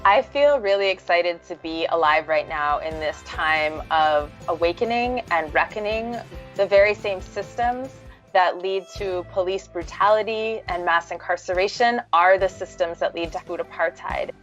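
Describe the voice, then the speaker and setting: A woman's voice: fairly low pitch, moderate pace, a bit bright, lo-fi sound. A woman speaking as a farmer and activist, explaining her work in a documentary interview style. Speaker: farmer and food justice activist. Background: background music.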